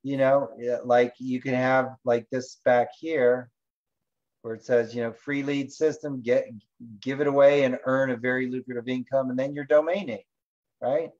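Guy casually presenting on a web call, rambles and thinks out loud, kinda muffled lo-fi sound, medium pitch. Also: fairly even intonation, moderate pace. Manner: informal, rambling, thinking aloud. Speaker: presenter and host of an online training call. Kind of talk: casual screen-share presentation. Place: online video call. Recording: dull lo-fi online call audio.

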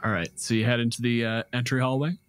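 A man's voice: mid-range voice, little pitch movement, fast talker, faint music behind. A man narrating a tabletop game as game master, improvising informal descriptions with the odd swear word.

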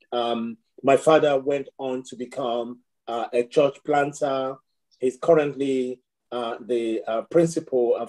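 A man's voice: medium pitch, mellow, low-quality recording. A middle-aged man, raised in Nigeria, a priest speaking as a guest on a video call, reflective, with many fillers and restarts. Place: home room with shelves.

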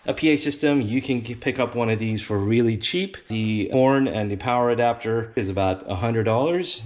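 A man giving an informal step-by-step product demonstration, explaining an installation plainly as a company representative. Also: on the low side. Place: indoors at a tabletop demonstration bench.

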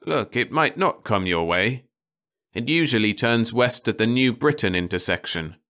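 A man's voice: medium pitch, animated, normal speed, fairly bright tone, pitched above the performer's own voice. A man voicing a firm, cautioning caller in an audiobook story, speaking matter-of-factly. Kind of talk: audiobook character dialogue.

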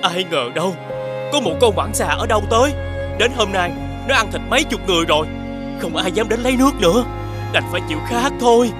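Very high-voiced emotional villager. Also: male voice, animated, a little fast, music in the background. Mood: fearful. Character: emotional, excitable villager of a folk tale. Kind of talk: animated fairy-tale dialogue.

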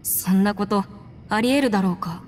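An actress voicing a steady, thoughtful young female companion in a game, speaking in an even, matter-of-fact way. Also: medium pitch, normal speed, slight background noise, close to an everyday voice. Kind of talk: game voice acting. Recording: roomy game audio with music.

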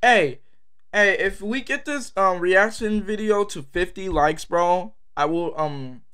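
Young male reaction host, slightly high voice, rambling and mumbly. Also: slow pace, fairly bright tone, smooth. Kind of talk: casual direct-to-camera talk.

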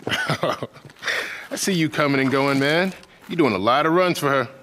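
A cocky, chummy American soldier in a game cutscene. His voice is mid-pitched and his talk breezy and crude, with a little noise behind it. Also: a little slow, sharp tone. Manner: breezy, slangy. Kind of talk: video game cutscene acting.

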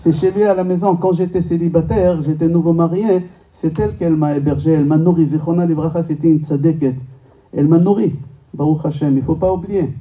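Rabbi guy, native hebrew speaker, really sharp forceful voice, jokey lecture full of questions, mic far off in a room. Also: fairly high pitch, fairly quick, lo-fi sound. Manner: rhetorical questions, emphatic repetition, joking. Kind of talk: informal religious lecture to a live audience. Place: medium-sized indoor synagogue function room.

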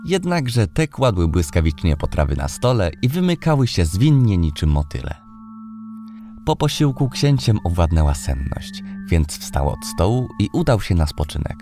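Deep-voiced man narrating a fairy tale. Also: sing-song, normal speed, mellow. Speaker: audiobook narrator. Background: background music.

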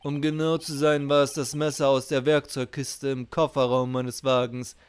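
A man voicing a stern, formal young male prosecutor from a video game, speaking in a measured, serious, clipped way. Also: medium pitch, little pitch movement, smooth, lightly acted. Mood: grave.

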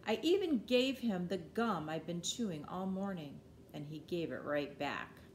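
A woman's voice: on the low side, lively intonation, unhurried. Middle-aged woman reading a storybook, roomy sound. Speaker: storybook reader. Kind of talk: expressive children's picture book read-aloud. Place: living room, indoors. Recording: roomy home recording with background noise.

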